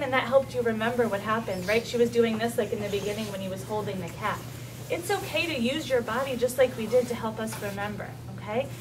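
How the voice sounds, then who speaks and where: Woman, kindergarten teacher, high voice, read-aloud lesson, frequent check-in questions, noisy distant recording. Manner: simple instructional phrasing with frequent check-in questions. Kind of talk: interactive read-aloud lesson to young children. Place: kindergarten classroom with children. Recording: distant mic, clearly noisy room ambience.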